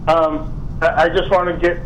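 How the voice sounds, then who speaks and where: A man chairing a committee meeting on a conference call, brisk and procedural as he calls votes. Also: a little high, little pitch movement. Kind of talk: running a meeting vote. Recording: muffled conference call with faint hiss.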